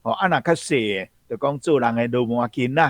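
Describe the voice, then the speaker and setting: A male guest explaining where a word comes from, in a steady explanatory way with frequent fillers. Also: mid-range voice, fairly quick, no echo. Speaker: guest commentator. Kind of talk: explaining a word's origin.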